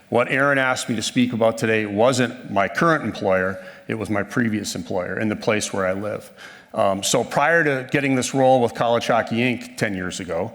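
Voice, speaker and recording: A man's voice: fairly low pitch, a bit bright. A man in his fifties from northern Minnesota giving a folksy, self-deprecating conference talk on a handheld microphone, with frequent ums and restarts. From northern Minnesota, USA. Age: 50s. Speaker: conference speaker. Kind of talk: conversational presentation. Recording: reverberant hall sound.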